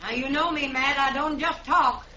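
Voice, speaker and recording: A woman's voice: sits high, steady tone, moderate pace, pushed and bright. A woman playing a defiant homesteader in a radio western, giving stern, threatening warnings. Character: defiant, armed female homesteader guarding her land. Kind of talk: old-time radio western acting. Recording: dull lo-fi radio drama recording.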